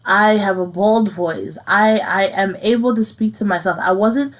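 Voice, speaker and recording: A woman in her thirties hosting her own podcast, speaking earnestly and haltingly about something personal, repeating phrases as she works through it. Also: mid-range voice. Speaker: podcast host. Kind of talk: personal reflective monologue.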